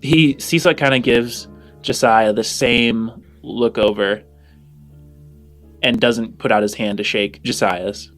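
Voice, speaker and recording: A man working as game master, improvising descriptive narration for a tabletop game in a halting way. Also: mid-range voice, steady tone, a bit bright, soft background music.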